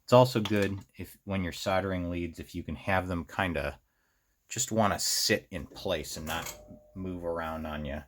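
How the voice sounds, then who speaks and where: American male how-to host, low register, unscripted and rambling, half talking to himself as he works. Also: slight background noise. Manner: halting, rambling, talks to himself while working. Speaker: host of a hobby electronics how-to series. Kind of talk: casual unscripted hands-on tutorial, thinking aloud.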